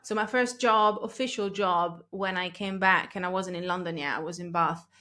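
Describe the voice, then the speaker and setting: A woman's voice: fairly low pitch, moderate pace, sharp tone. A chatty woman in her thirties from Italy, a podcast guest who laughs easily and wanders off on tangents. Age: 30s. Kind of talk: casual interview chat.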